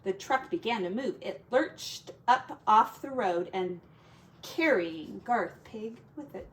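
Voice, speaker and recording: A woman teacher reading a children's story aloud in an expressive storytelling style. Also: mid-range voice, slow.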